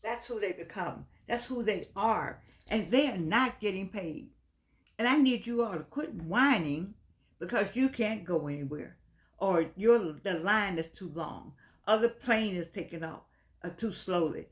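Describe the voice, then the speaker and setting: Mature American woman for an unscripted opinion vlog; medium pitch, urging and sincere, stumbles back over her words. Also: animated. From United States. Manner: earnest, exhorting, halting with repeated words. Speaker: vlogger addressing viewers. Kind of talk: unscripted opinion talk to camera.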